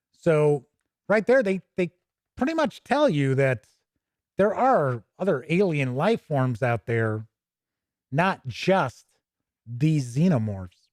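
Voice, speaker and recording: A man's voice: a little high, dry recording, studio quality. A man hosting a tabletop gaming stream, talking informally and thinking aloud as he goes. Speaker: host of a tabletop gaming news and review show. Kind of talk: casual livestream walk-through of a game book, chatting with viewers.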